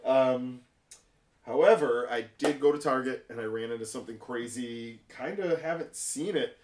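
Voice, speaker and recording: Man, American accent, casual host, slangy, rambling, mid-pitched, echoey room. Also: moderate pace, darkish tone, recorded from a distance, a little hiss or hum. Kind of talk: casual podcast chat. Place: indoor room full of display cabinets.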